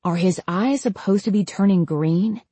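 A woman voicing a gentle young male dragon in an audiobook, earnest and worried, with a pleading manner. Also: medium pitch, moderate pace, husky, no room sound, lightly acted. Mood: hushed worry.

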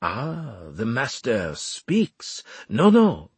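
A man's voice: mid-range voice, wildly swooping pitch, drawn-out words, husky, no echo, full character voice. A man performing a grandiose, sarcastic military commander. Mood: mocking. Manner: florid, mocking formal speech. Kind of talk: audiobook character acting.

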